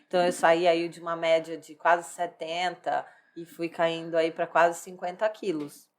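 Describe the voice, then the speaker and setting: A woman's voice: lowish voice, level tone, moderate pace, slight background noise. A woman in her thirties, a bodybuilding athlete, telling her life story in a candid, rambling way, full of fillers and restarts. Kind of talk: personal life story in casual chat.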